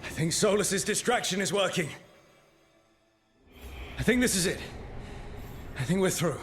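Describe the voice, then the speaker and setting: A man's voice: high voice, expressive, measured pace. A man playing a determined young fantasy hero in a video game, urgent, plain-spoken and under strain. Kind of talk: naturalistic video game acting. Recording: loud game music under the voice.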